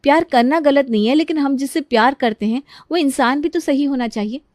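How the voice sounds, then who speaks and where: A woman's voice: high voice, a little fast, darkish tone, dry recording, studio quality, voice pushed down, close to an everyday voice. A woman voicing a worried, respectful young daughter-in-law who reasons politely and defends herself in a family drama. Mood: earnest, defensive.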